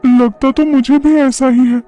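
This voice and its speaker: A man's voice: very high voice, steady tone, unhurried, warm tone, soft background music, voice pushed up. A man voicing a worried, chatty female neighbour who confides in her friend in a horror cartoon. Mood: confiding worry.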